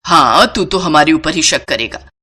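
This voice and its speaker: A woman's voice: sits low, expressive, normal speed, sharp tone, slightly rough, exaggerated voice. A woman as a scolding older mother-in-law. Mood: scornful. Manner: scolding, taunting. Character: stern, scolding older Indian mother-in-law, scornful and bossy.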